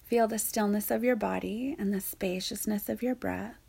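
A woman softly guiding a yoga nidra meditation in a soothing, breathy way, leaving long pauses. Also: medium pitch, steady tone. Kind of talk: guided meditation narration.

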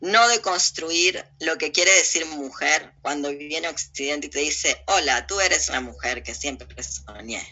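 A woman's voice: low-pitched, measured pace, hardly any pauses, bright, forceful tone, husky, dull audio. A woman with an Argentine accent giving opinionated, informal interview answers in a blunt, emphatic way, using coarse slang. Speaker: interview guest.

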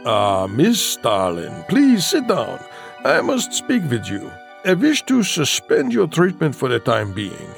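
Anxious, slow-talking creaky scientist. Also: male voice, mid-range voice, huge pitch swings, soft background music, exaggerated voice. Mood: uneasy, pleading. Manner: slow, careful, halting. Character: anxious, hesitant male scientist doctor. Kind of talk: campy audio drama acting.

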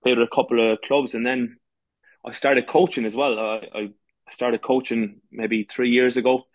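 A man's voice: mid-range voice, level tone, normal speed, dry recording, dull audio. An Irish man in his thirties, a footballer and coach talking as a podcast guest, with many restarts and fillers. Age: 30s. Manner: many false starts and fillers. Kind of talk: casual interview talk.

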